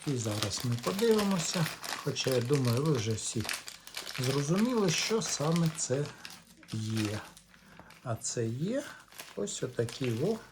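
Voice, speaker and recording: A man giving a casual hands-on demonstration as a video host, speaking in short, halting, unhurried phrases. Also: medium pitch, sing-song, background noise. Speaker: host of a reel-to-reel repair channel.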